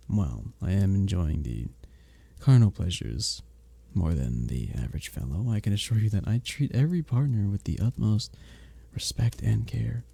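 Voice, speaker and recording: Man playing a dark-voiced, flirty medieval innkeeper. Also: lowish voice, animated, unhurried, dry recording, full character voice. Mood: flirty, amused. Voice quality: dark, husky, gravelly. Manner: formal old-fashioned diction, teasing, gently courteous. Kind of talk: romantic ASMR roleplay, scripted character acting.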